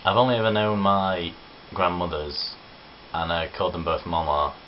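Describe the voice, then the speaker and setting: Very deep-voiced Nottinghamshire lad. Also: male voice, fairly even intonation, moderate pace. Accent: English Midlands (Nottinghamshire). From Nottinghamshire, England. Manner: chatty, self-deprecating, rambling asides. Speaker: vlogger. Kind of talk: casual accent-challenge vlog. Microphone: camera on tripod. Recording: dull lo-fi webcam audio with faint hiss.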